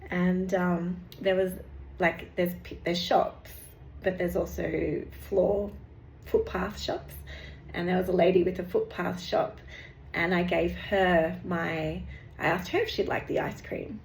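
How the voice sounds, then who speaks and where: A woman, hosting a casual vlog update, rambling and chatty with lots of fillers and restarts, her voice going hoarse. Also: fairly low pitch. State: losing her voice. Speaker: meditation teacher and channel host. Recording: echoey room with faint background noise.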